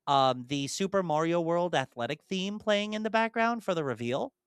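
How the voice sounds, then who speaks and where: A man's voice: fairly high pitch, lively intonation, normal speed, smooth, no echo, clean studio sound. A young man co-hosting a podcast, talking in an enthusiastic, rambling way with frequent restarts. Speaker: podcast co-host. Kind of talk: casual chat.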